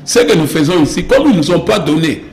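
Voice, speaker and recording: A man's voice: on the high side, sing-song, fast talker, faint music behind. A man from DR Congo with a Congolese French accent, speaking insistently as a political interview guest, repeating phrases and posing rhetorical questions. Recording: roomy echo with clear background noise.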